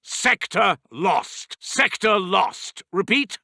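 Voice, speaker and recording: A man voicing a brisk, urgent British wartime army intelligence officer in a strategy game, giving clipped, formal battlefield reports. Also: sits high, very animated, pushed and bright, no echo, clean studio sound, exaggerated voice. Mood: urgent, alert. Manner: crisp, formal military delivery.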